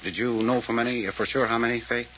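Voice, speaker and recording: A man's voice: mid-range voice, fairly even intonation, fast, fairly bright tone, lightly acted. A man acting a terse, authoritative, secretive detective in a 1940s-style radio serial, on an old noisy recording. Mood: urgent, instructing. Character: terse, commanding male detective laying a trap.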